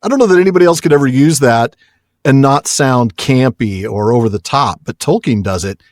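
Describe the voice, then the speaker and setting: A man hosts a casual book discussion on a podcast. His voice is average in pitch and his manner effusive and enthusiastic. The sound is dry. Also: a little fast, no room sound. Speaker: podcast co-host.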